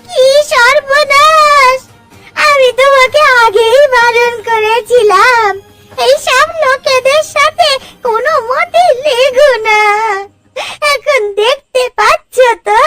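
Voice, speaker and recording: A woman voicing a worried, scolding elderly village wife in a cartoon, fretful in manner. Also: extremely high pitch. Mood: alarmed, scolding. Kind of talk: Bengali moral-story cartoon dubbing.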